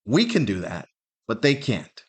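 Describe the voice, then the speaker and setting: A middle-aged man giving a candid, reflective solo podcast monologue in a conversational, thoughtful way, pausing to search for words and repeating himself. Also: lowish voice, wildly swooping pitch, breathy edge. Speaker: podcast host, stand-up comedian.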